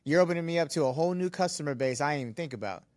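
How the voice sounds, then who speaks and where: A man's voice: fairly high pitch, rapid-fire, darkish tone, no room sound, clean studio sound. A man co-hosting a casual podcast, talking business bluntly and swearing. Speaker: podcast co-host, studio owner.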